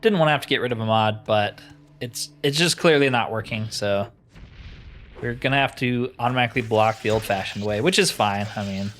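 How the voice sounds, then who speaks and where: A man's voice: on the low side, brisk, a bit bright, a little hiss or hum. A man giving casual let's play commentary, chatty and informal as he thinks aloud. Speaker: gaming channel host.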